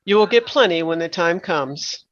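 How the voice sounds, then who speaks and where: A woman's voice: fairly low pitch, expressive, moderate pace, close to an everyday voice. A woman reading the part of a grave, kindly old male wizard in a storybook. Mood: firm. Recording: dull lo-fi microphone.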